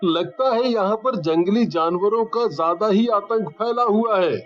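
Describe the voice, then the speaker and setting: A man's voice: sits high, unhurried, dull audio, voice pushed up. A man voicing an earnest, curious young man visiting his village home in a cartoon story. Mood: puzzled. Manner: earnest, questioning.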